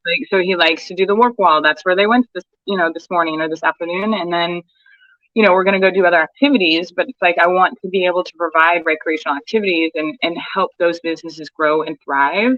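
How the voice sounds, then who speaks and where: Bright-voiced woman, chatty and rambling. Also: on the low side, steady tone, fast, dry recording, muffled recording. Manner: rambling, lots of fillers and restarts. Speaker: homeschooling mom. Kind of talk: casual podcast chat.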